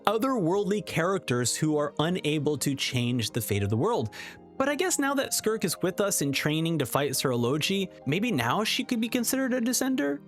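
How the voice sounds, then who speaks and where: Male game reviewer, lively swooping intonation, casual and emphatic, faint music behind. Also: a little high. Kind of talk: casual scripted video review.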